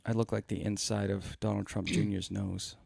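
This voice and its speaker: A man chats as a guest on a podcast live stream, full of crude, self-mocking humor. His voice is lowish and gravelly with plenty of vocal fry. The recording is close and dry. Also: flat delivery, normal speed, a little mellow, no room sound. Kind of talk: casual chat on a live stream.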